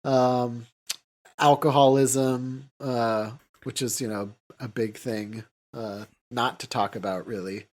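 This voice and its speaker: A man's voice: mid-range voice, little pitch movement, takes it slowly, a little mellow. A man hosting a podcast, talking casually through films in a rambling way full of filler words. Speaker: podcast host. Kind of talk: casual film discussion.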